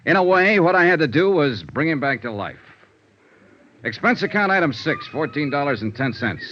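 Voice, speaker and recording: An actor playing a wry, hard-boiled American investigator in a 1950s radio noir style, clipped and sardonic. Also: male voice, fairly high pitch, very animated, normal speed, fairly bright tone, a little hiss or hum. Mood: wry narrating. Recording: dull vintage radio recording.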